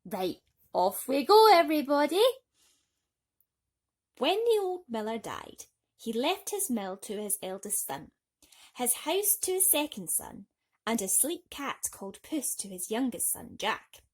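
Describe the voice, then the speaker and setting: Woman telling kids a bedtime story, theatrical, bit husky, pitch swings loads. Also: big pitch swings, unhurried. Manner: theatrical, addresses young listeners. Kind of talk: animated bedtime story reading for children.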